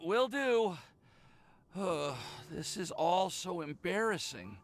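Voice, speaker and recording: A man's voice: high-pitched, very animated, slow pace, full character voice. An actor playing a nervous, apologetic young man in love, stammering and earnest in a video game cutscene. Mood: embarrassed. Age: young adult.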